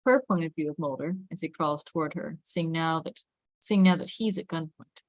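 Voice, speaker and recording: Low-voiced woman reading stage directions. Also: normal speed, no room sound. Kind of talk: scripted narration.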